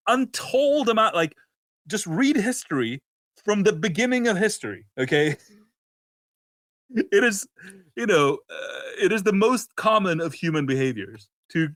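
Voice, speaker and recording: A man's voice: a little high, a bit bright, no echo. A man co-hosting a casual, opinionated talk show, often breaking off and rephrasing as he goes. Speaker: podcast co-host.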